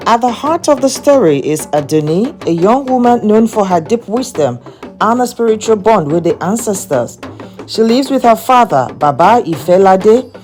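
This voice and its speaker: A woman's voice: medium pitch, darkish tone. A woman narrating a scripted folktale as a storyteller.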